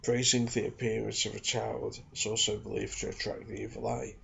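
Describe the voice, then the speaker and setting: A man with a low, very creaky voice reads aloud in a hushed ASMR style. His delivery is measured and soft-spoken, with a heavy gravelly fry running through it. The home recording sounds a little dull. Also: flat delivery. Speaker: ASMR reader and channel host. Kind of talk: ASMR reading aloud from a folklore book. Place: small home studio in a back bedroom.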